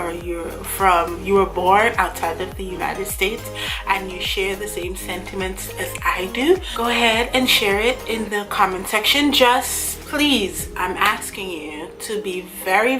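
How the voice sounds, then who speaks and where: Earnest woman vlogging. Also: medium pitch. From Guyana. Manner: earnest, chatty, reads from notes. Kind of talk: casual talk to camera. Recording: music playing under voice. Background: background music.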